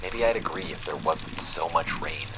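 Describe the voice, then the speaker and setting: A man's voice: very deep voice, moderate pace, background noise. A man playing a weary, skeptical, sardonic young man in an audio drama, with a dry, grumbling delivery.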